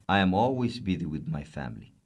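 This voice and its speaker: A man's voice: sits low, very animated, brisk, slight background noise. A man voicing a proud, hardworking camel in a children's story, boastful and grumpy.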